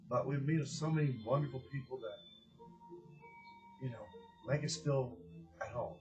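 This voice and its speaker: A man speaking earnestly and deliberately with pauses, as a presenter giving a heartfelt address and storybook reading. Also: mid-range voice, lo-fi sound, over music. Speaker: director of a community organization, presenter.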